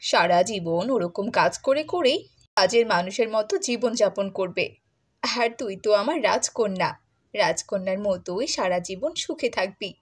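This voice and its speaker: A woman's voice: medium pitch, unhurried, breathy edge. Woman playing a cruel, theatrical middle-aged stepmother. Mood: doting, smug. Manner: scolding, gushing, theatrical. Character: cruel middle-aged stepmother, doting on her own daughter, scornful. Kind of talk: Bengali cartoon dubbing.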